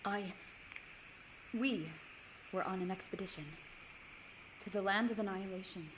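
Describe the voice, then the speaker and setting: A righteous female crusader voiced by a game actress speaks in solemn, old-fashioned formal phrases. She is proud and devout, with a mid-pitched voice over clear background noise. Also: normal speed, close to an everyday voice. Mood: haunted, somber. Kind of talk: scripted video game dialogue.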